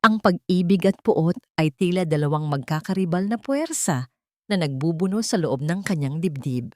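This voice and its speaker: Female narrator for romantic audio drama: lower register, emotive, deliberate delivery, dry studio-like sound. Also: animated, dry recording. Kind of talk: dramatic scripted storytelling.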